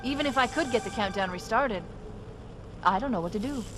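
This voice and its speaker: An American woman voicing a quick-witted, wry female ship AI with brisk, expressive delivery in a video game cutscene. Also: on the high side, noisy background, lightly acted. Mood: wry, worried. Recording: loud music behind the voice.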